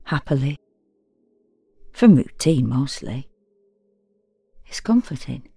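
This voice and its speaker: A frank, wry woman alone with her thoughts, in a confessional audio drama monologue. Her voice is husky with plenty of creak, recorded very dry and close-sounding. She speaks in short, deadpan, intimate phrases. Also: rumbling low, slow pace, no echo, faint music behind, heavily acted. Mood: quietly content. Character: frank, wry, solitary woman confiding her private habits. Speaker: actor performing a solo audio drama. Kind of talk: first-person dramatic monologue, confessional and conversational.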